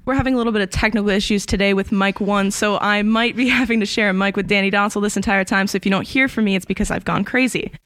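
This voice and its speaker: A woman hosts a casual school podcast with a fast, upbeat delivery. The sound is dry and close, with no room around her. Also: medium pitch, steady tone, a bit bright, no echo. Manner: upbeat, quick interviewer. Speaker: student podcast host. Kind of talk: casual chat.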